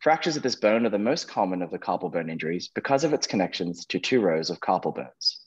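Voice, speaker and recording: Low, muffled male quiz host. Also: animated, fast, slightly rough. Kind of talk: reading quiz questions to contestants. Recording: dull lo-fi online call audio.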